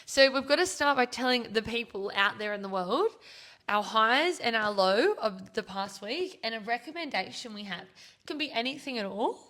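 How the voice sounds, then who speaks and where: A young Australian woman with a bright, slightly high voice chats casually as a podcast host. She giggles a lot and corrects herself mid-sentence. Her voice sounds a little worn. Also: lively intonation, normal speed. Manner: giggly, chatty, self-correcting. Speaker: podcast host and gym founder. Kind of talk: casual chat.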